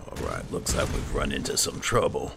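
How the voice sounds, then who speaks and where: A man with a gravelly voice giving laid-back, casual roleplay commentary as he plays a game, muttering to himself. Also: a bass voice, wildly swooping pitch, normal speed. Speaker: gaming video host, player. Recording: clear game ambience noise behind. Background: video game ambience.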